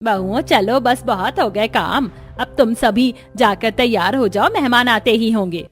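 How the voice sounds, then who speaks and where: A woman voicing a caring, outspoken woman of a cartoon family, plain-spoken and expressive. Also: a little high, measured pace, husky, soft background music. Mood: brisk.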